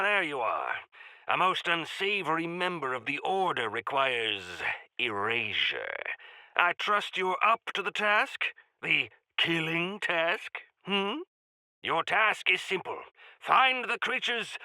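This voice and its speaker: A man's voice: fairly high pitch, sharp tone, no echo, heavily acted. An actor voicing a genial, pompous male quest-giver in a video game, speaking in grandiloquent, courtly phrases with wry asides. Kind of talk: theatrical video game character acting.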